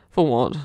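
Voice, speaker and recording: A man playing a wary, self-doubting shapeshifting lover in an intimate ASMR roleplay, speaking haltingly in a breathy voice that often drops toward a whisper. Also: no echo. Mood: guarded, suspicious. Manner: hesitant, halting, sighing, softly self-deprecating.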